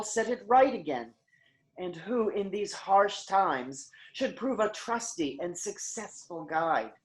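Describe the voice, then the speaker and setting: A woman's voice: mid-range voice, lightly acted, rough recording. A woman playing a loyal, conciliatory counselor to a king in a staged Greek tragedy, speaking with earnest formality.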